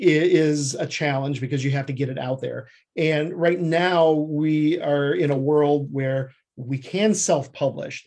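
A man talking informally as an interview guest, telling things in an animated, anecdotal way. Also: a little high. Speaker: screenwriter and producer, podcast guest. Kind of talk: casual interview talk.

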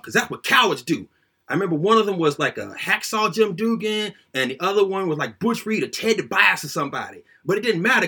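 A man performs a first-person story aloud in a high, husky voice. His pitch swings widely as he tells it. The delivery is conversational and colloquial, with a dramatic storyteller's animation. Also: bright, forceful tone.

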